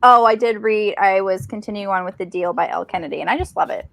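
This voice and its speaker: A woman's voice: mid-range voice, fast, gravelly. A woman chatting casually from home on a group livestream. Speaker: book reviewer in a livestream readalong. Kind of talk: casual chat. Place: at home on a group video call.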